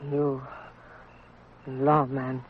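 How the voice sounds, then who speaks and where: A performer voicing a withdrawn, distrustful woman speaking halting, broken English in a 1950s radio western. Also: mid-range voice, little pitch movement, slightly rough. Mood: guarded. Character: withdrawn, distrustful Native woman, wife of outlaw. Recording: tinny old radio broadcast.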